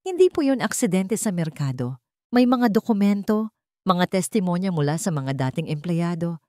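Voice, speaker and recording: A woman's voice: lowish voice, sing-song, moderate pace, mellow, no room sound, studio quality. A woman voicing a loyal male investigator who reports respectfully and hesitantly to his boss in an audio romance drama. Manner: deferential, hesitant.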